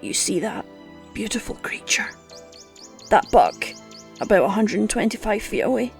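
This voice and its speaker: An actress playing a gruff, scolding old woman who teaches hunting with blunt scorn in an audio drama. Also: medium pitch, expressive, moderate pace. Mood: hushed, focused. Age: older. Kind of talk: audio roleplay drama acting. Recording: music under the voice.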